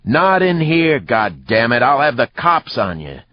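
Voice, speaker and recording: A man voicing an angry, alarmed bartender yelling at brawlers in an audiobook. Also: medium pitch, big pitch swings, a little slow, dull audio, pitched above the performer's own voice. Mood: alarmed anger.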